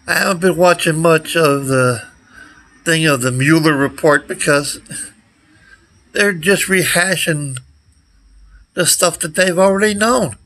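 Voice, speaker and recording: Slow-talking older man, halting casual vlogger. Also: on the high side, bright, forceful tone. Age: older, around 60s. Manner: halting, unhurried, trailing off. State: recovering from nearly losing his voice. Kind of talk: rambling casual selfie vlog. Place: outdoors beside a brick building. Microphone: handheld phone at arm's length.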